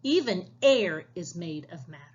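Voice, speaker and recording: A woman's voice: lowish voice, animated, normal speed, breathy edge, muffled recording. A woman voicing a patient female teacher at a storybook magic school, explaining and questioning.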